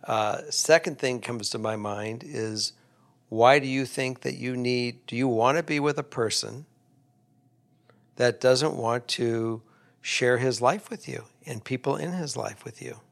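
A man's voice: mid-range voice, measured pace, creaky. A man co-hosting an advice podcast, talking casually and bluntly, often repeating words as he starts a thought.

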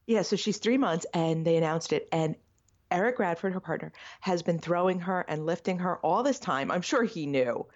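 A woman's voice: fairly low pitch, animated, normal speed, highs cut off. A woman co-hosting a podcast in casual chat, with a wry, joking manner. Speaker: podcast co-host.

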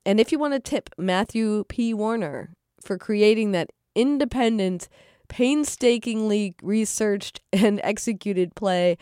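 A woman's voice: medium pitch, mellow, no echo, clean studio sound. A woman hosting a podcast, speaking scripted remarks straight to her listeners. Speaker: podcast host.

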